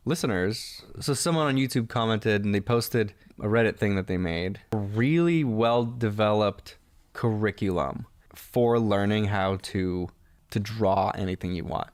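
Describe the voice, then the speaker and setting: A man talking relaxed on a podcast, explaining something he came across. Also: on the low side, a little slow, no echo, clean studio sound. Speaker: podcast host. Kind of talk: podcast conversation.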